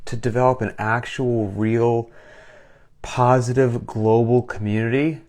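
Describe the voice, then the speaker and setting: A man's voice: mid-range voice, steady tone, measured pace, darkish tone. A man with a cold, hosting a casual live question-and-answer session as a fitness coach, talking with enthusiasm. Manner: enthusiastic, conversational, reads questions aloud. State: getting over a cold, sucking a cough drop. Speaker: gym owner and coach hosting a Q&A. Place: recorded for a live stream.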